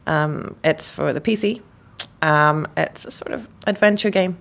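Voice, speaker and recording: A woman's voice: low voice, a little slow, gravelly. A woman team leader giving casual, upbeat interview answers.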